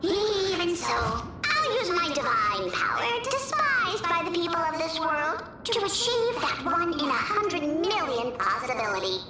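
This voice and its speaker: A tiny, defiant trickster spirit from a fantasy game, very high and wildly swooping in pitch. The delivery is theatrical and taunting, echoing from a distance under loud music. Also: female voice, very animated, measured pace, few pauses, heavy background noise, heavily acted. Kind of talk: video game voice acting.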